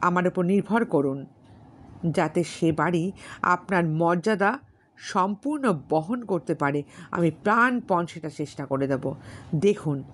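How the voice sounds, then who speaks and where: A woman voicing a brash, insistent young gentleman in an audiobook story, repeating his points with emphasis. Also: medium pitch. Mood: eager, boastful. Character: brash, pushy, cocksure young gentleman suitor. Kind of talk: dramatised literary audiobook dialogue.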